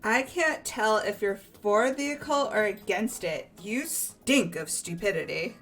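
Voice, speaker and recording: A woman's voice: mid-range voice, expressive, unhurried, a bit bright, soft background music. A young woman in a group game playthrough, voicing dialogue and joking casually.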